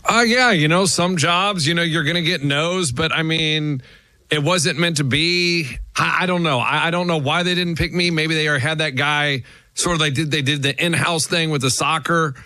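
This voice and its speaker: A man in his forties on a morning radio show rambles on with easy self-assurance. His voice sits slightly high and bright, recorded dry at a studio mic. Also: moderate pace, no room sound. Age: 40s.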